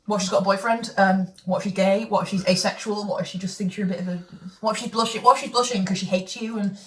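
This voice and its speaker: A woman talks through a book she is reading aloud, mocking it with plenty of fillers and quick restarts. She sits back from the mic, and the room rings around her voice. Her pitch sits in an ordinary middle range. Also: steady tone, a bit bright. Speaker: co-host reading a novel aloud. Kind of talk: casual chat and read-aloud commentary. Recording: echoey room, mic far off.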